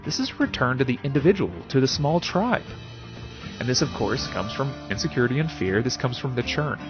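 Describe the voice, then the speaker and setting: A man presents a spoken essay as a show host, his pitch swinging widely as he leans on words for theatrical effect. He is wry and fond of rhetorical questions, dropping casual asides along the way. The sound is a little dull, with music underneath. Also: lively intonation, a little fast.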